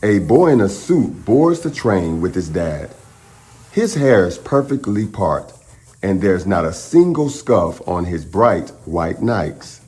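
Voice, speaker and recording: Animated, slow-paced man reading to children. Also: sits low. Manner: encouraging, expressive, addresses children directly. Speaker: children's storytime host. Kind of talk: children's read-aloud and upbeat chat to young viewers.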